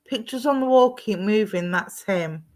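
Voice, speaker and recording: A woman giving a live-streamed psychic reading. She is gentle and reassuring, calls people darling and sweetheart, and rambles with ums and restarts. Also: medium pitch, normal speed. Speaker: psychic medium. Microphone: streaming setup at home.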